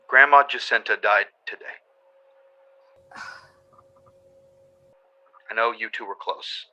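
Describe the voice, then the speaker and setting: An actor in a radio drama playing a caring older brother who halts and stutters on the phone. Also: male voice, lowish voice, pushed and bright, heavily acted, rough recording. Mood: somber. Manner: halting, stuttering.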